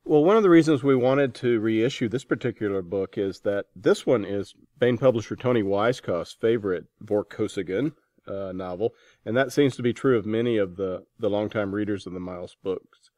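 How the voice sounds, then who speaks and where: Low-voiced man hosting, close and dry. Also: moderate pace, a little mellow, no echo. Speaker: podcast host interviewing an author. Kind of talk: conversational interview.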